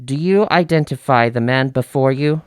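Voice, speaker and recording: Guy as a formal court official in a radio play, clear mid voice, very dry recording. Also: medium pitch, normal speed, no room sound, natural voice. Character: formal, orderly male court prosecutor calling witnesses. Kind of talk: radio drama acting.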